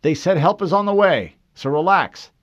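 A man's voice: sits high, moderate pace, voice pushed up. A man voicing a desperate male marine corporal calling urgently over the radio. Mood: tense, weary. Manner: urgent, shouted radio reports. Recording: dull lo-fi dry recording.

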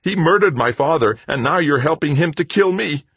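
A man's voice: lowish voice, big pitch swings, moderate pace, husky, no room sound, voice pushed up, heavily acted. A man voicing a volatile, bitter adult son in an audiobook, with a snarling, ranting delivery. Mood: enraged, anguished. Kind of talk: audiobook character acting.

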